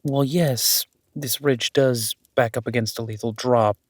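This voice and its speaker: A man playing a cautious warrior duke in a fantasy audio drama, respectful, measured and deferential. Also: medium pitch, fairly even intonation, no room sound, studio quality, lightly acted.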